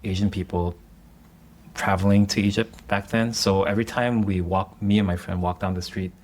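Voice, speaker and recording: A man's voice: low-pitched, fairly even intonation, moderate pace, a little mellow. A man co-hosting a podcast, speaking in an easygoing, slightly hesitant casual way and chuckling mid-sentence. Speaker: podcast co-host. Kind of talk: casual conversation.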